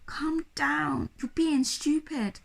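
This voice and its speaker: Woman reading as a hushed, determined young girl. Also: sits high, steady tone, slow, gravelly, pitched above the performer's own voice, exaggerated voice. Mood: upset, self-scolding. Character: anxious, determined young girl talking to herself. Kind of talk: children's storybook character reading.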